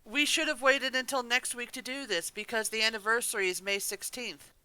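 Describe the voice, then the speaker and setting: A man with a high, bright and very clear voice. He co-hosts a casual podcast and chips in with dry, quippy banter. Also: fairly even intonation, a little fast, poor audio. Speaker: podcast co-host.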